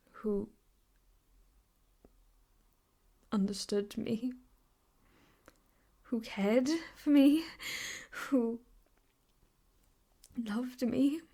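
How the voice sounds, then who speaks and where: A woman playing an obsessive, possessive yandere ex-girlfriend in an improvised ASMR roleplay, speaking intimately and haltingly, often slipping into whispers. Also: fairly high pitch, steady tone, warm tone, heavily acted. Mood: wounded, bitter. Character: obsessive, possessive yandere ex-girlfriend holding her captive lover.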